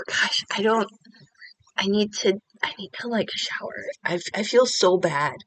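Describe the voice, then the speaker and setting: A woman's voice: fairly low pitch, a bit bright, no room sound, poor audio. A Korean American woman talking candidly and rambling in a casual confessional vlog from home. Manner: candid, rambling, self-deprecating. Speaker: vlogger.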